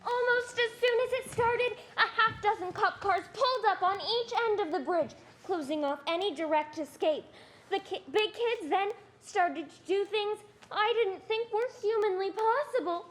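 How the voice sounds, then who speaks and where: A woman's voice: extremely high pitch, normal speed, fairly bright tone. A young woman playing a wide-eyed narrator who recalls a frightening childhood scene, in scripted stage narration. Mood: excited alarm. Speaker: student reader in a reader's theatre performance.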